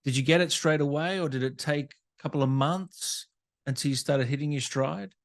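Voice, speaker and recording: A man's voice: a little high, moderate pace. A man hosting a podcast, asking questions in a casual, conversational interview style.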